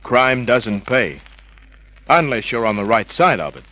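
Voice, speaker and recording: An actor playing a cocky, wisecracking hard-boiled private investigator in an old-time radio drama, flippant, slangy and smug. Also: male voice, mid-range voice, very animated, measured pace, sharp tone. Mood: worldly, flippant.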